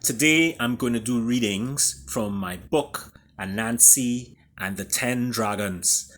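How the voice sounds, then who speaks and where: A man with a Trinidadian accent, an author and storyteller speaking theatrically, with booming emphasis and hushed drops. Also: slow, slight background noise. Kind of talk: dramatic storybook reading and introduction.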